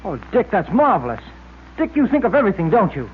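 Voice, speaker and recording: An actor playing an excitable, admiring detective's sidekick in an old radio serial. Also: male voice, high-pitched, quick pace. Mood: admiring, earnest. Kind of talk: 1940s radio acting. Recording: tinny, faintly noisy old radio recording.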